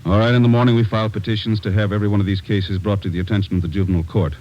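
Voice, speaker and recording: A deep-voiced, commanding police captain, acted by a man, who talks quickly and curtly. It is old radio crime drama with a dulled, dated sound. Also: fairly even intonation, natural voice. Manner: curt, commanding, businesslike. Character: brusque, demanding male police captain. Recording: dull old radio recording.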